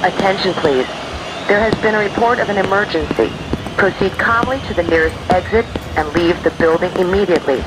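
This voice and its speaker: A man giving a recorded evacuation announcement in a calm, formal, instructional way, as a public alarm message. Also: sits high, fairly quick, very noisy.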